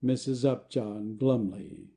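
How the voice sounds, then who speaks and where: A man's voice: mid-range voice, steady tone, slow, mellow. A man reading stage directions as the narrator of a scripted play.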